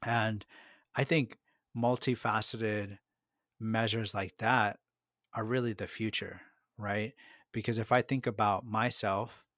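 A man's voice: on the low side, steady tone, slow, a bit bright, no echo, studio quality. A middle-aged man, a market researcher and podcast guest, giving a thoughtful conversational answer full of fillers and restarts. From United States.

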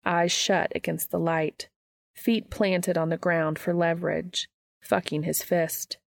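A woman narrates fiction at a slow pace. Her voice sits fairly low with plenty of vocal fry, and the sound is very dry. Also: little pitch movement, dry recording. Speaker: audiobook narrator of fan fiction. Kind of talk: scripted story narration.

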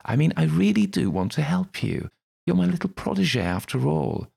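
A man's voice: on the high side, big pitch swings, normal speed, gravelly, a little mellow, breathy edge, voice pushed up, heavily acted. A man voicing Crowley, a smug, theatrical schemer who talks in a mock-genteel, teasing way. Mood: wheedling.

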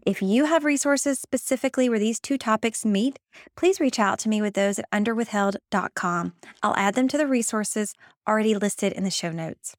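A woman hosting a podcast, reading a prepared intro in a clear, measured way. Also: medium pitch, dry recording, studio quality. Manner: clear, measured reading, laughs easily in chat. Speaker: podcast host. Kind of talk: scripted intro then casual interview chat.

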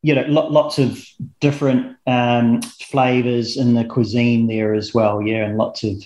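A man's voice: medium pitch, flat delivery, unhurried, a little mellow, rough recording. A man hosting a podcast interview, friendly and prompting his guest with short backchannels. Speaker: podcast host.

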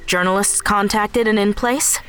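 A woman acting in an audio drama as the brisk, careful leader of a covert team. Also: mid-range voice, fairly even intonation, slight background noise, close to an everyday voice. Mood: focused, concerned.

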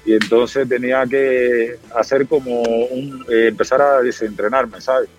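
A Cuban man in his thirties, a podcast guest, telling his story in a relaxed, rambling way with many fillers. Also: mid-range voice, measured pace, soft background music. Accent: Cuban Spanish. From Cuba. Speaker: podcast guest, former athlete. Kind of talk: casual interview conversation.

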